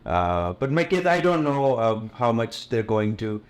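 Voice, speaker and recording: A man's voice: medium pitch, a little slow, smooth. A middle-aged man raised in the USA, a Gujarati native speaker and event promoter, chatting in an interview and switching between English and Hindi with easy fillers. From USA, born in India. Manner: relaxed, mixes English with Hindi, frequent fillers and light laughs. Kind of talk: casual interview conversation.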